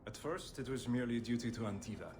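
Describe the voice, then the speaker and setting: Game aristocrat, male actor: smooth-talking, courtly schemer, medium pitch, busy background noise. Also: fast, close to an everyday voice. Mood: earnest. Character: suave male Antivan nobleman, charming and scheming.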